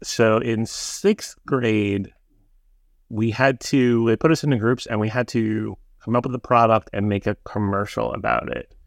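A man with a low, gravelly voice co-hosts a podcast in casual chat. He rambles through memories and restarts his sentences often. The sound is dry and close. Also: steady tone, measured pace, no room sound. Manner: rambling, self-correcting storyteller. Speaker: podcast co-host.

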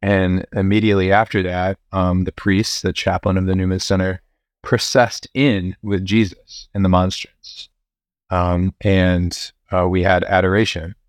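A young man, a priest, telling his story in a relaxed interview, with fillers and restarts. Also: low-pitched, measured pace, dry recording. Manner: relaxed, many fillers and restarts, occasional laughs. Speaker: priest and university chaplain.

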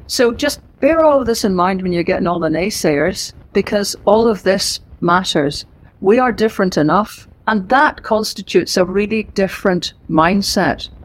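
A middle-aged woman with a Northern Irish accent, an author giving a lively, emphatic book talk to a live audience in a library. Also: mid-range voice, moderate pace, poor audio. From Northern Ireland. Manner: lively, emphatic and conversational, with asides. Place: library event room.

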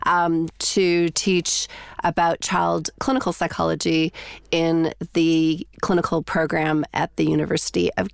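A woman's voice: on the low side, vocal fry, no echo, clean studio sound. A middle-aged woman from Canada with a Canadian accent, a psychology professor answering interview questions thoughtfully, with many fillers and restarts.

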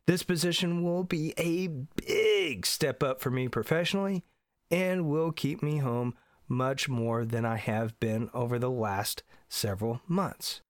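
A man's voice: medium pitch, no room sound, studio quality. A middle-aged man from Indiana, congested from allergies, hosting a solo podcast in an earnest, emphatic way. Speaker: podcast host.

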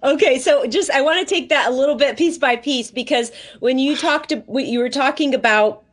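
A woman's voice: high voice, normal speed. A woman hosting a podcast in a casual, conversational, enthusiastic and affirming way. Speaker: podcast host.

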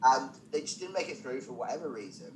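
A man's voice: medium pitch, animated, quick pace, slight background noise, rough recording. A man speaking as a podcast guest, a stand-up comedian telling anecdotes conversationally with self-deprecating, wry asides.

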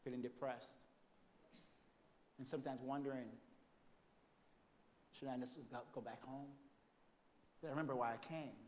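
A man with a Jamaican accent preaching a sermon, moving between loud, emphatic declarations and soft, hushed storytelling. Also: mid-range voice, steady tone, moderate pace, long pauses, a little hiss or hum. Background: congregation calling out amens.

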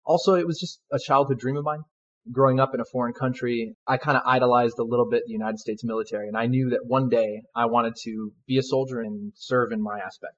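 A man's voice: medium pitch, steady tone, quick pace, slight background noise. A man in his twenties, a soldier guest answering interview questions in an earnest, polite way. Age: 20s. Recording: dull lo-fi sound.

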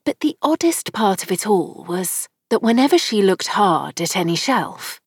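A woman's voice: mid-range voice, sing-song, normal speed, breathy edge, dry recording, studio quality. A woman reading a children's story aloud as an audiobook narrator. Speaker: audiobook reader.

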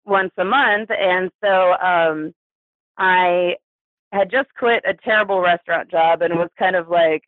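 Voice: medium pitch, a little slow, no room sound. Phone-like recording of an American in their thirties. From New Mexico, United States. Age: 30s. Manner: hesitant, chuckles through hard subjects. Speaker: interview guest, poet. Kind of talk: candid personal storytelling in an interview. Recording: tinny phone-like radio sound.

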